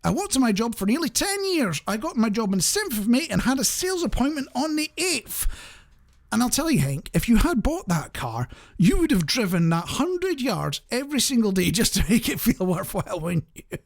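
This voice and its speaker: A radio presenter with a mid-pitched voice and very animated intonation. The delivery is casual and conversational, addressed straight to the listeners. It wanders into wry, jokey asides. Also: brisk. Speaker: radio show host.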